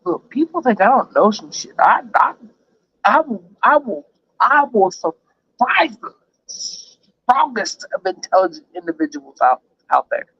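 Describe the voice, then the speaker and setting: A man's voice: very high voice, unhurried, vocal fry, slightly rough, no echo. Tipsy man rambling. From Texas. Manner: rambling, profane, animated riffing. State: tipsy from drinking. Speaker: show host. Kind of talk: casual drinking chat. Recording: dry, slightly dull lo-fi sound.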